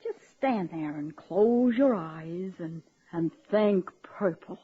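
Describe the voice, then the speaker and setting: A woman's voice: on the low side, animated, slow, breathy edge, exaggerated voice. An actress playing a superstitious, pushy working-class woman, blunt, earnest and ungrammatical, in a radio comedy drama. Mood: insistent. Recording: dull, lo-fi old radio recording.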